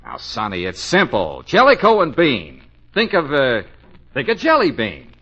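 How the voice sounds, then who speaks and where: Excitable, sarcastic American boss, forceful and swooping delivery, 1940s radio comedy. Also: male voice, medium pitch, unhurried, full character voice. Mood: brisk, eager. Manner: blustering, sarcastic, impatient. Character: excitable, exasperated male businessman bossing his office staff. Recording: dull, lo-fi old radio recording.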